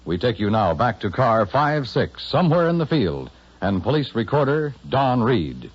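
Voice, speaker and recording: A man with a husky voice delivering a dramatic scripted introduction as a 1950s radio announcer. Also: mid-range voice, sing-song, normal speed. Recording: dull old radio broadcast recording.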